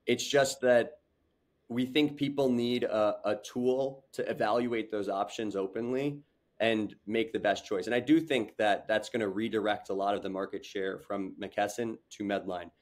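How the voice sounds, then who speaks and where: A man's voice: fairly low pitch, fairly even intonation, normal speed. A young man, a startup founder, talking earnestly on a video call interview, with many fillers and restarts. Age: young adult. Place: home room with large window.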